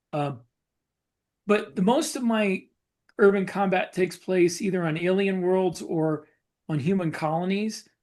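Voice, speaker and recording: Man, podcast co-host, higher-pitched voice, casual, halting explanations. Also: a little mellow. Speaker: podcast co-host, science fiction writer. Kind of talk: casual discussion.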